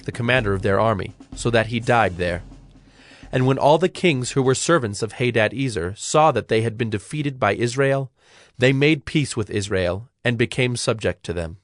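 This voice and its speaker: Male narrator, mid-range pitch, measured, formal, dramatized scripture reading, music underneath.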